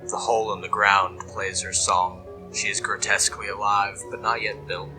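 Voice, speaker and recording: Casting: obsessed male dreamer acted by a man for horror audio drama, very low, flat pitch, brooding and hushed, music behind. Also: moderate pace, natural voice. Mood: hushed reverence. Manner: brooding, hushed, dropping into whispers. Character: obsessed, reverent male dreamer logging eldritch nightmares. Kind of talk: scripted horror monologue.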